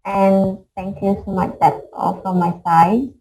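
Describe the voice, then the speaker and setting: A woman from Cambodia, a high school mentor, politely introducing herself on a video call. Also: flat delivery, dark tone, rough recording. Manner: polite, measured self-introduction.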